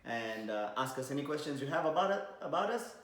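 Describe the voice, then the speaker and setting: A man's voice: on the high side, sing-song, fairly quick, fairly bright tone. Easygoing Kenyan man in an echoey room. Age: 30s. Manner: hesitant, many fillers, easygoing. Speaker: podcast co-host, DJ. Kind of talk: casual chat mixing in Swahili.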